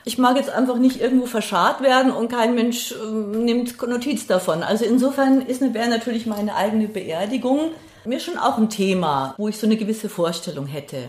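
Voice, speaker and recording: A woman's voice: fairly high pitch, normal speed, hardly any pauses. A middle-aged woman, talking casually and reflectively as an interview guest, hesitant as she thinks aloud. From Passau, Bavaria, Germany. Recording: roomy, echoey sound.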